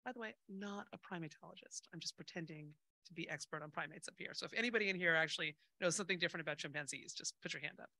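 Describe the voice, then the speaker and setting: A woman's voice: on the low side, very fast, few pauses, sharp tone, no room sound. A middle-aged woman speaking casually and unscripted from a conference stage into a handheld microphone, informal and self-deprecating.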